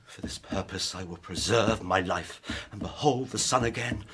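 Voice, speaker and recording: An actor playing a tormented, vengeful scientist worn down by grief, speaking in a solemn, declamatory style in a gothic radio drama. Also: male voice, low-pitched, moderate pace, fairly bright tone, exaggerated voice. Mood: vengeful resolve. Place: festival theatre stage. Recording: live stage recording with clear noise.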